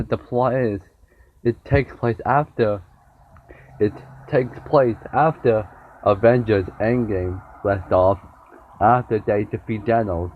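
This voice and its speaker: A young man with a sore throat reviewing a TV show in a casual vlog, rambling and often repeating and restarting his words. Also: lowish voice. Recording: dull lo-fi with faint noise.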